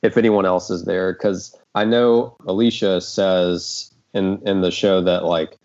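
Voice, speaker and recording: A man with a deep voice hosts a relaxed group discussion. He rambles conversationally through his thoughts. The recording is dull and lo-fi. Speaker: host and scriptwriter of an audio drama. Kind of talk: casual panel discussion. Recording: dull, lo-fi audio.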